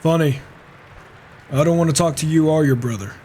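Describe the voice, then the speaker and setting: A man's voice: a little high, a little fast, mellow, lightly acted. A man roleplaying a cocky, sardonic wandering warrior in a video game, talking casually in character. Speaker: roleplaying gamer. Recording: faint noise, flawed microphone.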